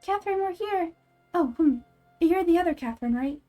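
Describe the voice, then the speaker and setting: Woman putting on a squeaky eager little companion girl. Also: animated, brisk, warm tone, faint music behind. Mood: excited, bossy. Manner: refers to self in third person. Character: small chirpy childlike companion girl, eager and bossy.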